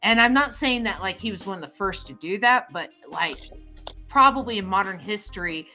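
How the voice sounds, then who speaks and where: A woman's voice: mid-range voice, animated, moderate pace, pushed and bright, soft background music. A woman co-hosting a casual chat show, rambling and thinking aloud with fillers while she draws. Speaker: co-host drawing a comic.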